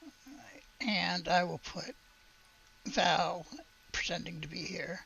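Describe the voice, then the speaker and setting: Gravelly, bright-edged woman game master. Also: lowish voice, animated, takes it slowly, lo-fi sound. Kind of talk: running a tabletop roleplaying game, casual with read-aloud narration.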